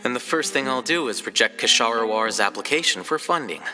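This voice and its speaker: A man's voice: medium pitch, expressive, fairly quick, in a large hall, natural voice. A man voicing an aloof, blunt young scholar in a video game, dry and matter-of-fact. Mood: dry, unbothered. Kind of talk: video game character acting. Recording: loud music behind the voice.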